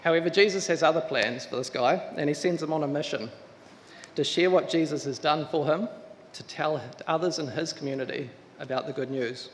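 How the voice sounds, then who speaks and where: A man giving an earnest address to a church congregation, welcoming a new pastor. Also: on the high side. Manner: earnest, measured, with restarts. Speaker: search committee member. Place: church hall. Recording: reverberant church hall, faint room noise.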